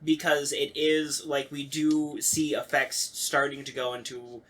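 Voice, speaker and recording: A man talking casually in a group discussion, halting and slangy as he works through his thoughts. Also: on the high side, flat delivery, a bit bright, low-quality recording.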